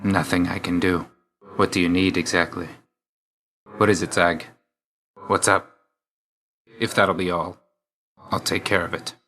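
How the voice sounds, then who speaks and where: Low, brooding god of death. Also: male voice, flat delivery, a little slow, pauses often, pushed and bright. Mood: grim, resigned, then terse, weary. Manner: clipped, terse, stern and weary delivery. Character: brooding god of death, young man, curt and dour. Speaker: video game voice actor. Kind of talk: video game character voice lines.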